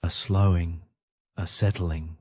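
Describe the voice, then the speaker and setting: A man guiding a sleep meditation in a calm, gentle, soothing manner, speaking in short phrases with pauses. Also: sits low. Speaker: meditation guide.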